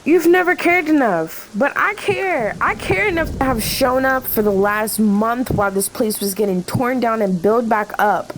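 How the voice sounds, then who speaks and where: A woman's voice: a little high, expressive, moderate pace, few pauses, a little hiss or hum, lightly acted, low-quality recording. A woman playing an overworked, devoted diner worker in a radio play, impassioned and hurt, piling up rhetorical questions. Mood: indignant.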